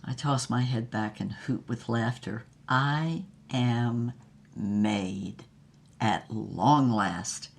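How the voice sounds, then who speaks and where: A woman's voice: very deep voice, vocal fry, heavily acted. An actress playing a grandiose female film star reminiscing in a languid, theatrical monologue for an audio drama. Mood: grandiose, exultant. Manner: grandiose, languid, theatrical delivery with drawn-out words.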